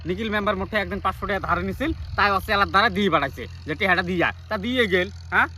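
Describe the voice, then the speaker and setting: A man's voice: high-pitched, racing, pushed and bright, a little hiss or hum. A man playing a crafty older farmer in a village comedy skit, grumbling and arguing in a folksy rural North Bengal accent. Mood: defensive, persuading. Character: crafty, hard-working older village farmer, touchy about bribes.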